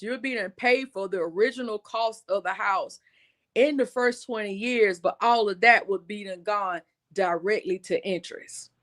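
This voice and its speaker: A woman in her forties talks straight to her viewers in a relaxed livestream. She calls them y'all and circles back over her points. Her voice sits at an everyday middle pitch. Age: 40s. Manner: conversational, addresses viewers as y'all, repeats points for emphasis. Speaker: livestream host. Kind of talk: casual explainer livestream.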